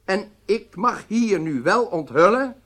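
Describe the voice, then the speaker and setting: A male actor playing a stern head of state in a radio drama, speaking formally and deliberately with emphatic phrasing. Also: high-pitched, animated, a bit bright, full character voice. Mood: firm, formal.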